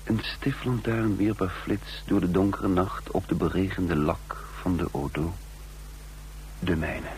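A man's voice: sits low, fairly even intonation, a little slow, noisy background. An actor playing a defiant trained fighter who recounts his own escape in a radio drama, with a sardonic edge. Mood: tense recounting. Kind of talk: radio drama, first-person narration and dialogue.

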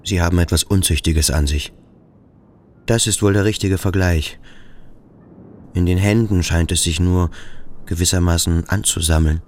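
An actor playing a brooding boarding-school pupil in a literary radio play, thinking aloud in a measured, introspective way as he coolly scrutinizes his companion. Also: sits low, steady tone, darkish tone, slight background noise, close to an everyday voice. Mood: uneasy, repelled. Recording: studio radio play with music behind.

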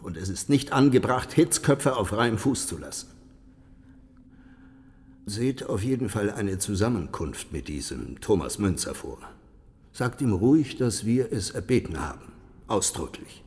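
A weary, haughty ruler in a historical radio drama. His low voice rings in a long reverberant hall, measured, commanding and full of condescension. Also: sing-song, darkish tone. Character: haughty, weary, sardonic ruling prince.

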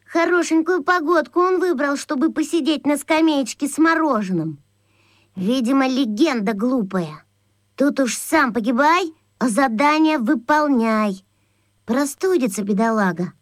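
Young boy, high voice, unhurried, self-important amateur detective, earnest muttering, children's radio drama, dry close sound. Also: expressive, no room sound. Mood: smug, conspiratorial. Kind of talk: children's radio play acting.

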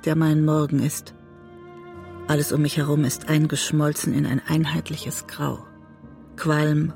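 An actress playing a guilt-ridden, disillusioned wife and writer, narrating introspectively in a literary radio drama. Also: female voice, deep voice, gravelly, darkish tone. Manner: introspective, measured storytelling. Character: disillusioned, guilt-ridden woman writer trapped in a bitter marriage.

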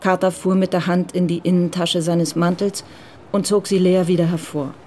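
A woman's voice: on the low side, flat delivery, normal speed, a little mellow, slight background noise, lightly acted. A woman giving scripted literary narration in a radio crime drama. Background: music.

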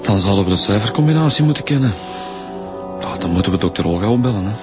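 A man's voice: fairly low pitch, lively intonation, fast talker, lightly acted. A man playing a rambling, musing police detective in a radio drama. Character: chatty Flemish police detective. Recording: radio play with background music.

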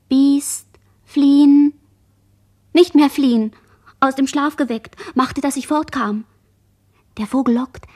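A woman's voice: high voice, darkish tone, slightly rough, heavily acted. A woman playing a barely conscious shipwrecked girl in a radio play, speaking in dreamy, fearful, fragmentary poetic phrases. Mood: urgent, pleading, then whispered, eerie. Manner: fragmentary, incantatory, drifting phrases. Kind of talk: radio play monologue, poetic disjointed speech.

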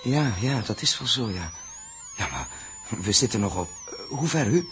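Actor as animated spaceship captain, grave and commanding. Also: male voice, medium pitch, moderate pace, background noise, close to an everyday voice. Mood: thoughtful. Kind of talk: 1960s Dutch radio drama acting. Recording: old 1960s radio recording.

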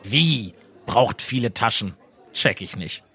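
An actor playing a young gamer who becomes a dim but strong warrior in an amateur comedy audio drama. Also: male voice, fairly low pitch, expressive, slow, bright, forceful tone, full character voice. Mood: grumbling.

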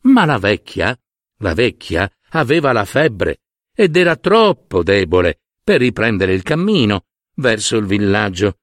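A man's voice: fairly low pitch, sing-song, unhurried, no echo, clean studio sound. A man narrating a fairy tale in a dramatic, scripted storytelling style.

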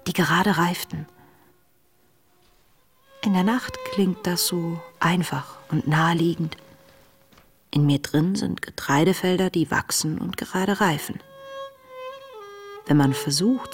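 An actress playing a young woman diarist in a radio drama, reading her entries in a hushed, reflective, tender way. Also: lowish voice. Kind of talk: intimate diary narration in radio drama.

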